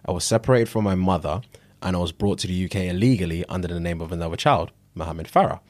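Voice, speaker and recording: A British man with a London accent and a fairly low voice, recorded very dry. He co-hosts a podcast in casual, slangy conversation, often repeating phrases to drive them home. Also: fast talker, no room sound. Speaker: podcast co-host.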